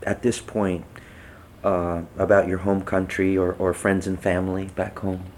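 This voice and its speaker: A man's voice: low-pitched, completely flat delivery, a little slow, darkish tone, slight background noise. A man conducting an unscripted interview, feeling his way through questions with hesitant, tentative phrasing.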